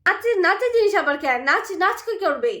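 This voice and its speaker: A woman's voice: very high voice, very animated, quick pace. A woman doing domestic comedy banter as a nagging, argumentative wife. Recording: noisy home-video sound.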